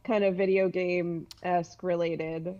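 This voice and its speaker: A woman's voice: on the low side, little pitch movement, measured pace, warm tone. A woman talking casually as a guest on a podcast, over slightly lo-fi audio. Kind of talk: casual chat.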